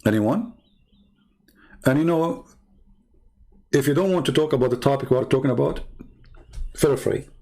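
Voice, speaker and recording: Gravelly Arabic-accented man challenging callers. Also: mid-range voice, lively intonation, moderate pace, long pauses, mellow. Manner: challenging, taunting, inviting callers. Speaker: online debate host. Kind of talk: live-streamed religious debate talk.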